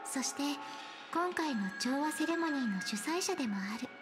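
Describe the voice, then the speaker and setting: A gentle young female singing star from a video game. Her voice is high, with graceful, courteous phrasing. Music is clearly heard behind her. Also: animated, normal speed. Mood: gracious. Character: gracious, gentle young female celebrity singer. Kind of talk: Japanese video game voice acting.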